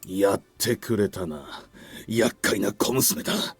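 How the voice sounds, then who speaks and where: A man's voice: on the low side, lively intonation, normal speed, gravelly, husky, no room sound, full character voice. A man playing a menacing, haughty shapeless monster in a Japanese visual novel. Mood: haughty menace. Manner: haughty, threatening.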